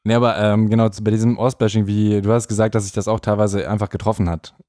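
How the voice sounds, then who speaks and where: Male interviewer, young adult from North Rhine-Westphalia, mellow tone, dry close sound, casual questioning style. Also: lowish voice, level tone, quick pace, no room sound. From North Rhine-Westphalia, Germany. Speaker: podcast interviewer.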